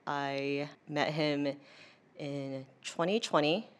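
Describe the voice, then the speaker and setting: A woman's voice: low-pitched, fairly even intonation, takes it slowly, clean tone. A young woman with an American accent talking in a casual, chatty way as an interview guest, peppering her sentences with "like". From United States. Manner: chatty, informal, heavy use of "like".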